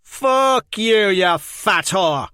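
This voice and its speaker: A man's voice: medium pitch, unhurried, fairly bright tone, dry recording, clean studio sound, natural voice. A man reading a story, voicing a bitter, foul-mouthed teenage boy who spits insults. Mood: scornful. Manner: spitting, sneering insults.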